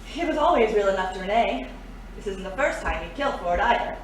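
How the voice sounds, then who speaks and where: Shrewd, world-weary lady crook for female actor, mid-pitched, matter-of-fact audio-drama delivery, roomy and off-mic. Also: animated, fast, distant mic, close to an everyday voice. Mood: grave. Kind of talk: pulp adventure audio drama acting. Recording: echoey, off-mic, faint noise.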